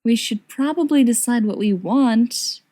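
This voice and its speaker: A woman's voice: on the high side, measured pace, warm tone, pitched above the performer's own voice. A woman voicing a wry, teasing, fond young woman in audiobook-style dialogue. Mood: agreeable. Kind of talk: audiobook-style dialogue reading of fan fiction.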